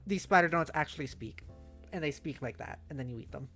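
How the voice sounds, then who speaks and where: A woman's voice: low-pitched, fast talker, fairly bright tone. A woman hosting a casual livestream, playful and sweary, reacting aloud with music behind her. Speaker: livestream host. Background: faint music.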